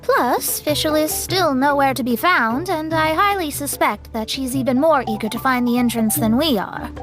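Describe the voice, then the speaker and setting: An actress voicing a composed young woman adventurer who reasons aloud in a measured way. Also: high voice, natural voice. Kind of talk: game voice acting. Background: music.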